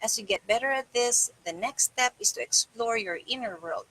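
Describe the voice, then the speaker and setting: A woman's voice: a little high, moderate pace, fairly bright tone, husky, no room sound, poor audio. A middle-aged woman reading a self-help book aloud in a small room indoors. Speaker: reader.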